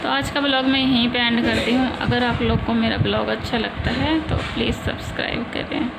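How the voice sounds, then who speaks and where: A woman's voice: on the high side, fairly even intonation, a little fast, creaky. A woman in her thirties, from a village in India, chatting casually and rambling on in a home vlog. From rural India. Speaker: vlogger. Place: home in the city. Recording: loud background noise, traffic.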